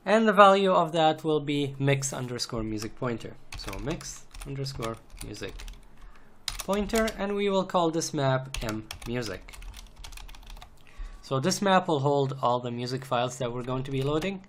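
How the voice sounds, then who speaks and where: A man narrating an unscripted, step-by-step screencast tutorial in a methodical way, repeating phrases as he goes. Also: medium pitch. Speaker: programming tutorial instructor. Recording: noisy home recording, typing and clicks. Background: keyboard typing, mouse clicks, background noise.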